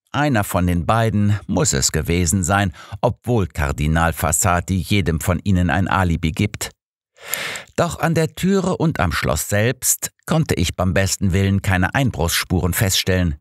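A man's voice: low-pitched, dry recording, clean studio sound, voice pushed up. A man voicing an old master detective in an audiobook, composed, inquisitive and matter-of-fact.

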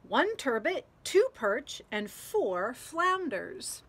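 A woman's voice: fairly high pitch, very animated, extremely slow, a bit bright, pitched above the performer's own voice, exaggerated voice. A woman voicing a kindly old fisherman grandfather in a picture book read-aloud. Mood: satisfied. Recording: clear outdoor background noise.

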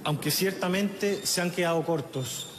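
A middle-aged man with a Chilean accent reads a funeral eulogy at a lectern microphone outdoors, in a solemn, measured way with halting restarts, audibly emotional. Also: high voice, background noise. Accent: Chilean Spanish. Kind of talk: funeral eulogy, read aloud. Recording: echoey, reverberant outdoor sound with faint ambience.

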